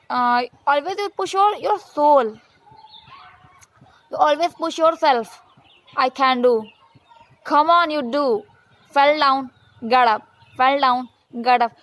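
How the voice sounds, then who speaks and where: A woman whose first language is Hindi, giving an earnest motivational talk in halting English with many restarts, repeated phrases and fillers. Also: high-pitched, rough recording. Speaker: vlogger. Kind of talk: motivational monologue, practicing English.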